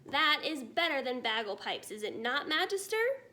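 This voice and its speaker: Lady doing a jolly simple storybook giant, folksy muddled talk, high voice that swoops about. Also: sing-song, normal speed, pushed and bright, exaggerated voice. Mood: exuberant. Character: big friendly giant, excitable, simple and jolly.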